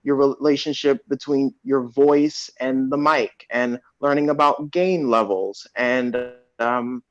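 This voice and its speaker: A man's voice: mid-range voice, slow. A man who works as an actor, talking reflectively in a panel chat. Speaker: actor in an audio play cast. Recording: muffled, roomy video call audio.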